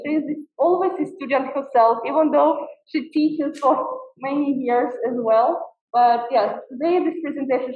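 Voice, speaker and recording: High-voiced woman introducing a speaker. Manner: warm, admiring, with frequent fillers. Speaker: host introducing a guest speaker. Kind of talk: formal introduction at a talk. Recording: tinny, distant and echoey.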